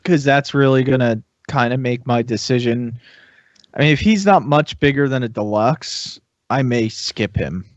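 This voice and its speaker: A man's voice: medium pitch, normal speed, dry recording. A man chatting casually as a podcast panelist, loosely phrased and tentative, on a dry, slightly dull recording. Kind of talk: casual hobbyist chat.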